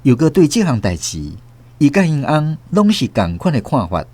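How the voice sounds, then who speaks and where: A man's voice: on the high side, big pitch swings, moderate pace, darkish tone, no room sound. A man with a Taiwanese accent reading scripted literary narration for a radio drama. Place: dry studio.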